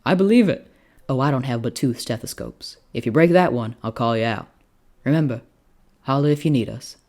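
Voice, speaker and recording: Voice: medium pitch, fairly quick, darkish tone, close to an everyday voice. A reader voicing a kindly, exasperated frontier doctor in a story, plainspoken and folksy. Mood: wry.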